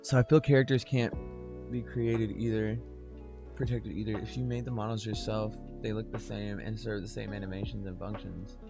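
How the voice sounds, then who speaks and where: Soft-spoken man. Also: fairly low pitch, fairly even intonation, moderate pace, vocal fry, loud music behind the voice. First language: Norwegian. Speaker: guest. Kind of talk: casual chat.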